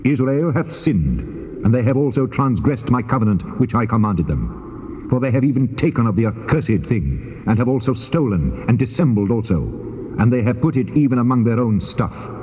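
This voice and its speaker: An actor playing a stern God, speaking with solemn, measured authority in an audio Bible drama. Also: male voice, medium pitch, big pitch swings, dark tone, natural voice. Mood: stern, reproachful. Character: God, stern male deity rebuking his servant. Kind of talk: dramatized scripture audio acting. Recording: long cavernous reverb.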